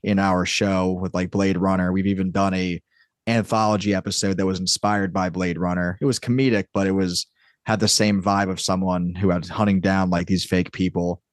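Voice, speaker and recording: Male interview guest, lowish pitch, dry sound, casual and rambling explainer. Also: little pitch movement, normal speed, no echo. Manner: rambling, explanatory, peppered with "like" and "you know". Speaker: podcast guest, audio drama creator and writer.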